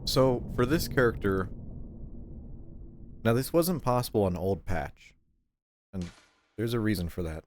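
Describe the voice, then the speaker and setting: A stuffed-up man short of breath, rambling casually as he streams a game and clears his throat often. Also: fairly low pitch, very animated, unhurried, pauses often, darkish tone, a little hiss or hum. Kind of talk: casual gameplay chat.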